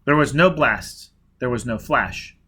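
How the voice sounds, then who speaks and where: A man narrating a novel with an expressive, dramatic delivery. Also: medium pitch, measured pace, a little hiss or hum. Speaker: audiobook reader.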